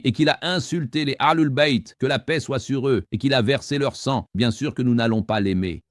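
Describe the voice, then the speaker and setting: A man hosting a call-in debate, forceful and argumentative, who often cuts in on his callers. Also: mid-range voice, no room sound, studio quality. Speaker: host.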